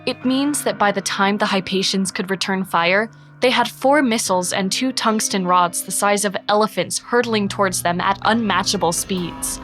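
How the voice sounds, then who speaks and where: A woman giving steady, descriptive narration for a sci-fi audio drama. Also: mid-range voice, normal speed, hardly any pauses. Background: music.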